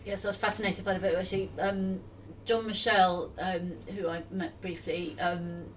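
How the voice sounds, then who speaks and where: A woman's voice: on the low side, little pitch movement, moderate pace. A woman interviewing conversationally as a podcast host, hesitant and appreciative in her phrasing. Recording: distant mic with faint background noise.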